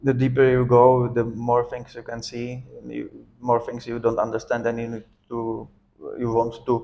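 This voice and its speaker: A man from the Czech Republic with a Czech accent, speaking English as a second language in halting, hesitant phrases during an interview. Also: mid-range voice, monotone, gravelly, dark tone, lo-fi sound, slight background noise. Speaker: interview guest. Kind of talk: casual interview.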